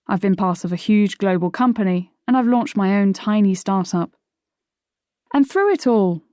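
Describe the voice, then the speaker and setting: A woman reading her own nonfiction audiobook in a measured, articulate way. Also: medium pitch, dark tone, no echo, clean studio sound. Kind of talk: scripted audiobook narration. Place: dry studio.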